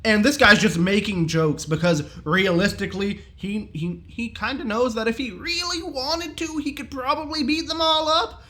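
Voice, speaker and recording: A man giving a casual, enthusiastic review in an animated, jokey, slangy way. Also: very high voice, moderate pace, hardly any pauses.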